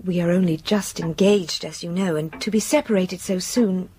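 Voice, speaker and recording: An actress playing a polite, anxious young fiancée in a period audio drama, restrained and genteel. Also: female voice, fairly low pitch, normal speed, dark tone, natural voice.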